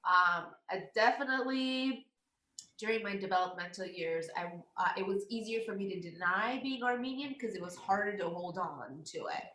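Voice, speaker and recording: A woman's voice: mid-range voice, moderate pace, sharp tone, a little hiss or hum. Chatty woman in an echoey room. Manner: laughs easily, hesitant restarts. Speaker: guest. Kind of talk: casual conversation. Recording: roomy echo.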